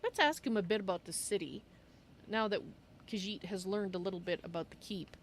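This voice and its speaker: A woman's voice: medium pitch, fairly even intonation, fairly quick, lightly acted. A woman roleplaying a courteous female cat-folk archmage in a fantasy game, speaking of herself in the third person. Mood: curious. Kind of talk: in-character game roleplay on a livestream. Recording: faint noise and game ambience.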